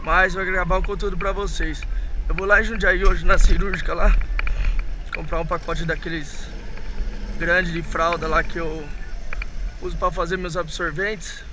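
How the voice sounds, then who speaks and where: A hoarse man with a Brazilian Portuguese accent talks in a chatty, rambling way, calling his viewers family. His voice sits high for a man. It was recorded outdoors on an action camera on the handlebars, with loud road and wind noise behind him. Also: little pitch movement, moderate pace. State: hoarse from a cold. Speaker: vlogger. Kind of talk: casual vlog talk while riding. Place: outdoors on city streets, riding an electric tricycle.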